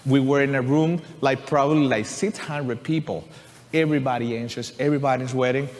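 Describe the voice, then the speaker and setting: A man's voice: mid-range voice, steady tone, fairly quick, darkish tone. Man sharing testimony in a reverberant church. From Venezuela. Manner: earnest, conversational, leans on "you know" and "right?". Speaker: church musician and composer. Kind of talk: personal testimony to a congregation. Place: church sanctuary. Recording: long reverb of a large church hall.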